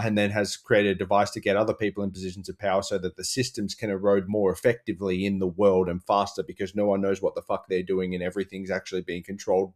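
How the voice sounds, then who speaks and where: An Australian man speaks in a fairly low voice as a panellist on a comedy show. He riffs in long run-on sentences, exasperated and happy to swear. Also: a little mellow.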